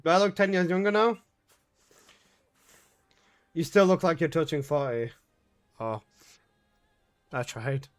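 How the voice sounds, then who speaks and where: A man's voice: fairly high pitch, expressive, measured pace, long pauses. A man in his twenties, a game streamer chatting casually and jokingly with his live chat, swearing often. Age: 20s.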